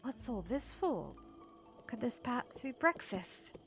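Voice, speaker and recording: A woman playing an eager, proper, playful young princess in an audio drama, with formal, expressive phrasing. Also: fairly high pitch, a little slow, faint music behind, heavily acted. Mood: doubtful.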